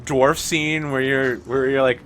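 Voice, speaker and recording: A man's voice: mid-range voice, little pitch movement, measured pace. A man improvising casual narration as a player in a tabletop roleplaying game.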